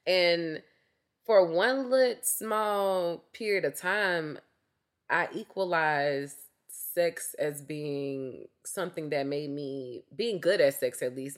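A young woman hosting a casual solo podcast, candid and chatty, her voice slightly raspy from a cough. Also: low-pitched. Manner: candid, chatty, frequent fillers like "like" and "um". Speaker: podcast host.

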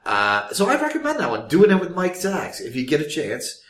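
A man's voice: fairly high pitch, wildly swooping pitch, brisk. A man co-hosting a podcast in casual chat, rambling with fillers and restarts. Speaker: podcast co-host. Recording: roomy echo.

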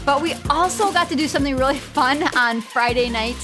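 A woman's voice: sits high, fairly quick, over music. Middle-aged woman, chatty podcast co-host. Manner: chatty, informal storytelling. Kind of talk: casual conversational podcast.

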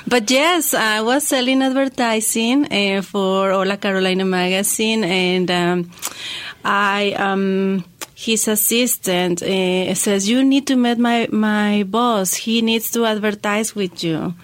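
A woman guest with a Mexican accent tells personal stories. Her voice sits at a middle pitch. A native Spanish speaker, she phrases things conversationally and a little haltingly. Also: fairly even intonation. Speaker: interview guest, magazine founder.

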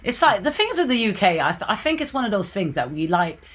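A British-accented woman chatting as a guest. Her pitch is mid-range but very animated, and she laughs a lot as she tells her stories. A faint hiss sits under her voice. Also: quick pace. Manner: laughs often, animated storytelling. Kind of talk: casual chat.

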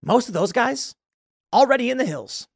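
Animated, mocking man in his thirties. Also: very high-pitched, moderate pace, gravelly, no room sound, clean studio sound. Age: 30s. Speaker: political commentary host. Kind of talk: reacting to a video, commentary.

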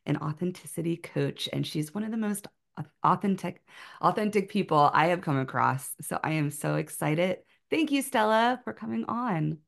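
A woman hosts an education podcast with a low voice and a gravelly edge. She speaks with eager, gushing enthusiasm as she introduces her guest and asks her questions. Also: lively intonation, moderate pace. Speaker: podcast host. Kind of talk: scripted intro then casual interview.

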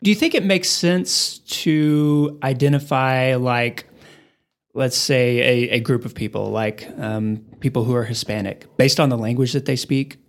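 A man's voice: mid-range voice, steady tone, a little slow. A man interviewing a guest, asking long, thoughtful questions that he often rephrases mid-sentence. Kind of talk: oral history interview.

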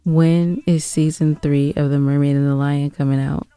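A woman's voice: low voice, fairly even intonation, normal speed, dark tone, smooth, no room sound, soft background music. Teasing woman podcast co-host. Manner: teasing, challenging, prodding with questions. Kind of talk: casual teasing banter with partner.

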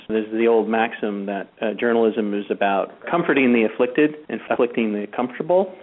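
A man giving interview commentary as a campaign spokesman. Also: lowish voice. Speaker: activist campaign director interviewed. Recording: slightly tinny phone-like line.

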